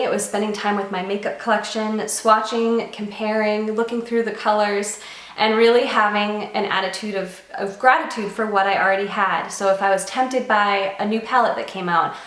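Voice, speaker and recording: A woman's voice: medium pitch, a bit bright. A young woman talks casually to her camera, sounding nasal because she's getting over a cold. She's chatty and earnest, with a mid-range voice. The room around her has a noticeable echo. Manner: chatty and earnest, with light laughs. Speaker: beauty vlogger.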